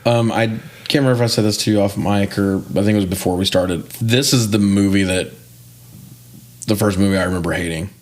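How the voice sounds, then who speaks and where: A man's voice: on the low side, fairly even intonation, a little fast. A man in his forties co-hosting a podcast, chatting casually and telling stories in an informal, sweary, joking way. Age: 40s. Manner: informal, profane, jokey storytelling. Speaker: podcast co-host. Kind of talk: casual film review chat.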